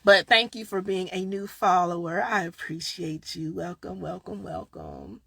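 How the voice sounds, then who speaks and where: A woman with a Southern accent, chatting casually on a live stream as a grief coach, a little tired, swinging from loud bursts to quiet asides. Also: fairly low pitch, very animated, unhurried, creaky, husky, no room sound. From Southern United States. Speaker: grief coach and podcast host. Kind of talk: casual live-stream chat answering viewers.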